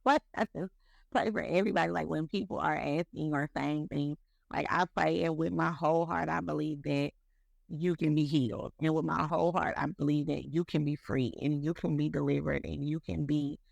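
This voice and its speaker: A speaker in their thirties talking faith in a loose, rambling way on a podcast. The voice has a heavy gravelly fry throughout. It is recorded close and completely dry. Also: mid-range voice, steady tone, normal speed, no echo. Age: 30s. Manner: rambling, heavy use of "like" and "you know", repeats words. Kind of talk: casual faith talk.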